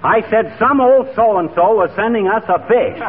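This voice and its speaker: A man's voice: sits high, moderate pace, over a noisy crowd, lightly acted. Man playing a grumbling husband, tinny vintage radio. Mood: irritated, sardonic. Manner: sardonic, blustery. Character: grumbling, jealous, wisecracking husband. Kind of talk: 1940s radio comedy acting. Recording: tinny old radio recording, live audience.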